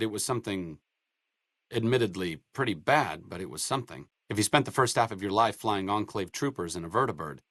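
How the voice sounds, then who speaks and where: An actor playing an idealistic, self-deprecating young wasteland doctor in a video game, speaking in an articulate, conversational way with dry wit. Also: male voice, on the low side, dry recording. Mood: wry, pleading. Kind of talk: video game dialogue acting.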